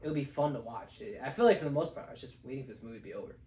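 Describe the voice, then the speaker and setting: A man's voice: mid-range voice, animated, very fast, mellow. A man chatting casually as co-host of a movie review. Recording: echoey room, distant microphone.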